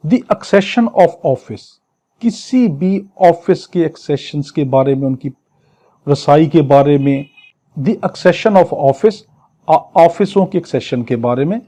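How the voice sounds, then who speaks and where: A man's voice: on the high side, expressive, takes it slowly, warm tone. A man with a Pakistani accent giving an exam lecture, reading clauses aloud and explaining them in a didactic way, often answering his own questions. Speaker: law lecturer.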